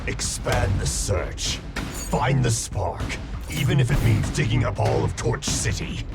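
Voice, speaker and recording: A man playing a grim, commanding elderly villain leader in a video game, with gravelly, menacing speech. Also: mid-range voice, expressive, unhurried. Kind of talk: video game cutscene acting. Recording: loud game music behind the voice.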